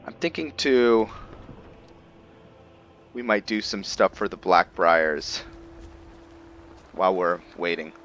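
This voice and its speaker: A man's voice: low voice, expressive, slow pace, long pauses, fairly bright tone. A man giving casual gameplay commentary, thinking aloud as he plays. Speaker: gamer narrating own roleplay playthrough. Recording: slightly muffled, game music behind. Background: video game music.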